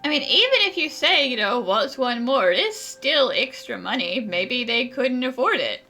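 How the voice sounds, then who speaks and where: Bright, high-pitched woman gamer. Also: very animated, normal speed. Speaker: gaming streamer. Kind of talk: casual playthrough commentary. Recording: game music faint behind the voice.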